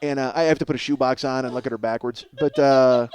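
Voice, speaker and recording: A man's voice: medium pitch, steady tone, fast talker, a little mellow, a little hiss or hum. A man hosting a comedy podcast in a rambling, self-mocking monologue, ranting with profane exasperation.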